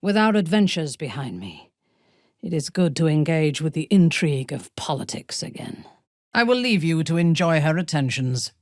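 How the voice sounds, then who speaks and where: A woman playing a haughty, ruthless dark elf warrior in a video game, speaking in an imperious, deliberate, measured way. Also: sits low, big pitch swings, no echo, clean studio sound. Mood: poised, intense. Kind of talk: dramatic video game character acting.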